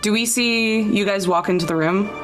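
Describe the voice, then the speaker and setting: A woman's voice: medium pitch, normal speed. A woman playing a tabletop roleplaying game, improvising casually in and out of character. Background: background music.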